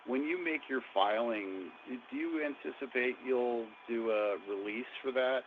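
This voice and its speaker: A man calling in as a financial analyst, asking questions on an earnings call with hesitant pauses mid-question. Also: medium pitch. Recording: tinny phone line with faint noise.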